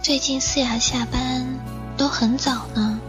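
A woman plays a gossiping coworker in an audio drama, noncommittal and slow to get things out. Her voice is slightly high, with clear music underneath. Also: fairly even intonation. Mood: gossipy. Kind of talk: Chinese audio drama acting.

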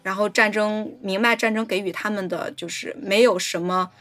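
Female co-host, mid-pitched, dry sound, reflective casual discussion in short phrases. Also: fairly even intonation, normal speed, a bit bright, no room sound, faint music behind. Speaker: podcast co-host.

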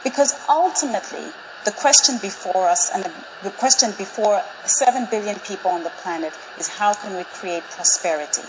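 A woman in her forties, from Zambia, gives a prepared public talk in a measured, persuasive and emphatic way to a live audience. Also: medium pitch, background noise. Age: 40s. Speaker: speaker. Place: large auditorium with live audience.

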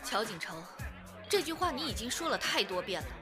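A woman's voice: fairly high pitch, sing-song, fairly quick. A woman acting a devoted young lover, firm yet tender, in a modern Chinese audio drama, with music underneath. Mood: hurt, resolute.

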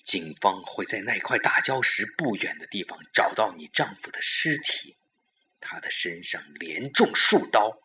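A man playing a scheming, excited lover who lays out a murder plan in a commanding, emphatic way for an audio drama. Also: on the low side, exaggerated voice.

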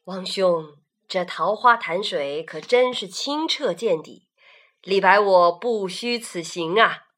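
A woman's voice: mid-range voice, fairly bright tone. Li Bai, a woman doing a jovial poet. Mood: delighted. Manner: hearty and declamatory, slow stately recitation. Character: jovial, carefree male ancient poet. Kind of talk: children's radio drama.

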